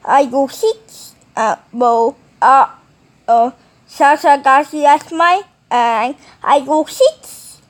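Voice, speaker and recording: A woman talking casually in a halting, rambling way, with mumbled phrases, as in an improvised home video. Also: high-pitched.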